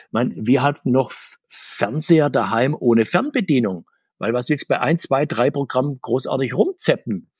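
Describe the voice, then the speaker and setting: A middle-aged man answering interview questions, rambling and restarting his sentences, with sudden lifts in pitch. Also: darkish tone, slightly rough, no echo, clean studio sound. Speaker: comics author and guest.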